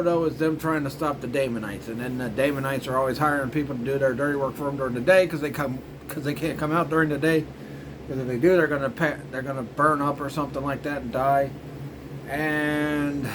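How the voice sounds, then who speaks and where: A man's voice: fairly high pitch, noisy background. A man casually rambling through a commentary as host of a review show, in informal, loosely strung phrases. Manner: informal, repetitive filler phrases like "you know" and "frickin'".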